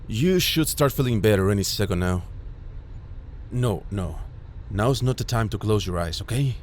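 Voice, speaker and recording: Man acting as caring low-voiced medic, lively intonation. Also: normal speed, slight background noise, close to an everyday voice. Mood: gentle concern. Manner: gentle, reassuring, coaxing. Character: caring, worried male ambulance medic soothing an injured patient. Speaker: voice actor. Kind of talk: immersive audio roleplay acting.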